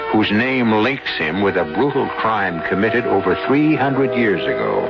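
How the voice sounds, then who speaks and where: A man with a lowish voice narrates a vintage radio mystery. He is measured and theatrical, with music behind him and a slightly muffled sound. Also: gravelly. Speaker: host and narrator.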